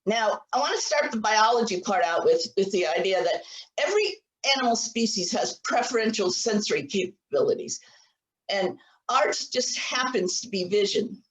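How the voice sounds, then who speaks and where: A woman's voice: medium pitch, animated, moderate pace. A woman in her sixties giving an online biology lecture over slides, earnest and often restarting her sentences. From United States. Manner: earnest, many restarts and repeated words. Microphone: computer mic on a video call. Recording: echoey room, voice a little far from the mic.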